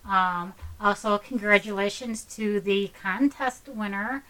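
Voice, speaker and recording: A woman, co-hosting a podcast, leading the talk in a friendly, steady way and retelling a local story. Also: mid-range voice, unhurried, low-quality recording. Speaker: podcast co-host. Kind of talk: casual chat and story retelling.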